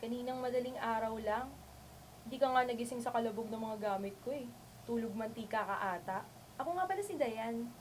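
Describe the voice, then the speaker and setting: A woman plays a frank, friendly young roommate in an amateur radio play. Her voice is fairly high and chatty, with slight noise behind it. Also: brisk, a little mellow, close to an everyday voice. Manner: chatty, candid. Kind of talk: amateur radio drama acting.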